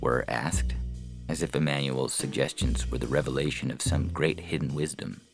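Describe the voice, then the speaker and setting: A man's voice: a bass voice, steady tone, normal speed, creaky. A man reading scripted science fiction story narration, with music playing behind his voice. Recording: music under the voice.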